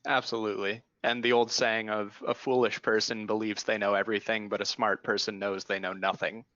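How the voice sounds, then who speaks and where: A man's voice: lowish voice, flat delivery, brisk, bright, forceful tone, no echo, lo-fi sound. A man moderating a panel discussion, affirming speakers and inviting others to join in.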